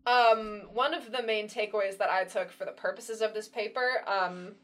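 A young woman co-hosting a casual podcast chat, rambling with lots of fillers and quick laughs. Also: medium pitch, steady tone. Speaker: podcast co-host.

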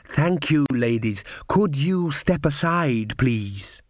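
A man's voice: fairly high pitch, expressive, takes it slowly, dry recording, studio quality. A man voicing a proud, imposing voodoo woman with a haughty, formal manner in a storybook reading. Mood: polite, cool.

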